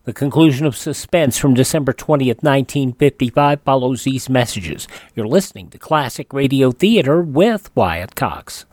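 Lively male radio host announcing. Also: mid-range voice, normal speed, hardly any pauses, no echo. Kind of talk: scripted radio continuity announcing.

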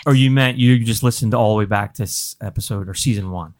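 A man's voice: lowish voice, fast, a little mellow, no echo, clean studio sound. A man hosting a casual group podcast, exuberant and informal, full of interjections. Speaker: podcast host and player.

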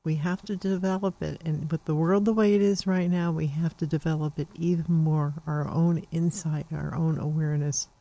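A woman's voice: deep voice, fairly even intonation, moderate pace, few pauses, dark tone, rough recording. An older woman hosting a psychic call-in radio show, talking in a rambling, chatty way with many fillers. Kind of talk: casual radio call-in talk.

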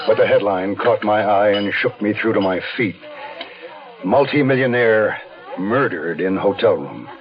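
A man's voice: on the low side, lightly acted. An actor playing a wounded American man on the run, telling his crime story in terse first-person radio drama narration. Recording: dull 1970s radio recording.

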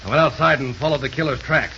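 A sly backwoods fella in an old radio play, rustic talk, sharp voice, muffled recording. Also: male voice, medium pitch, fast, creaky. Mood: earnest. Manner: plain-spoken, rustic grammar. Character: folksy backwoods man, sly and eager to explain. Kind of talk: 1930s radio acting. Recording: dull, faintly noisy 1930s radio recording.

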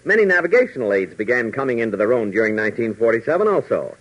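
A man's voice: mid-range voice, big pitch swings, fast, fairly bright tone. A man announcing and narrating a scripted 1940s radio adventure serial with emphatic, formal delivery. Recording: dull, worn 1940s radio recording.

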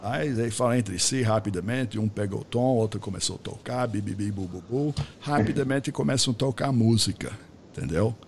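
A man's voice: lowish voice, moderate pace, a little mellow, slight background noise. Older man hosting, rambling chat. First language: English. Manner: rambling, checks in with "tá?". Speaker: podcast host. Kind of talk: casual interview chat.

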